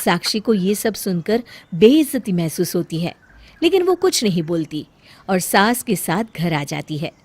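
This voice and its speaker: A woman storyteller reading a scripted tale in an expressive narrating style. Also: lowish voice, normal speed.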